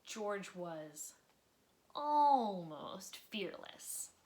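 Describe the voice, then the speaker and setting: A woman reading a picture book aloud for children, expressively, with dramatic pauses and drops into breathy near-whispers for suspense. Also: medium pitch, big pitch swings, warm tone, slight background noise. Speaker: volunteer storybook reader. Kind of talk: children's read-aloud storytime narration.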